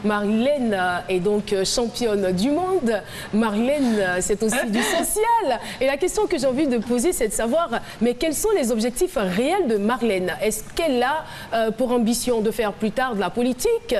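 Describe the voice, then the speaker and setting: A woman hosting a TV talk show, announcing guests and filling pauses with many hesitations. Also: fairly high pitch, lively intonation. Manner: hesitant with many fillers, announcing loudly to the crowd. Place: large TV studio with live audience. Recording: loud studio crowd and noise behind.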